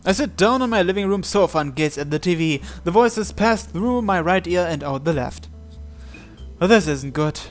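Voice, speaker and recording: A man's voice: high voice, animated, moderate pace. A man reading a visual novel aloud as a gaming host, acting out the text and adding his own asides. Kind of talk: dramatic read-aloud with asides. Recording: music behind the voice. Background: game music.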